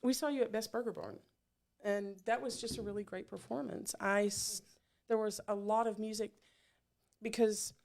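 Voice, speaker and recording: A woman's voice: medium pitch, a little mellow. A middle-aged woman hosting an interview, chatting casually and rambling with many fillers and restarts. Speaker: podcast host.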